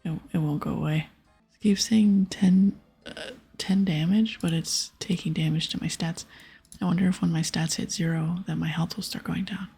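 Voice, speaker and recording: A woman hosts a gaming ASMR session in a soft, extremely breathy whisper. Her voice often crackles into fry as she casually thinks aloud about her play. Gentle music sits quietly behind her. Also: fairly low pitch, fairly even intonation, moderate pace. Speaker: ASMR gaming channel host. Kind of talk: whispered ASMR game commentary.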